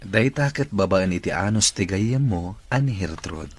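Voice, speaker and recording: A man's voice: sits low, expressive, normal speed. A man acting as a subdued boss recovering in a wheelchair, in an Ilocano radio drama.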